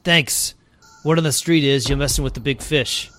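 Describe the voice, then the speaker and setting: A man's voice: medium pitch, moderate pace, a bit bright, no room sound, natural voice. A man acting as a middle-aged police chief and father in an audio drama. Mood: wry, grateful.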